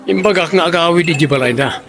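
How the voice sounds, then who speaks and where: Casting: actor as casual, slippery young guy for audio drama, on the higher side for a man. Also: quick pace, fairly bright tone, some chatter behind. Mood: evasive. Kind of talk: Ilocano audio drama acting.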